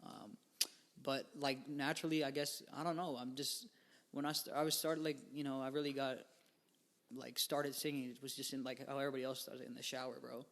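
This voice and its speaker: A man's voice: medium pitch, little pitch movement, fairly quick, a bit bright. Laid-back young man rambling casually. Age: 20s. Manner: rambling, filler-heavy, laid-back slang. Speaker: guest musician. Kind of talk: casual podcast interview.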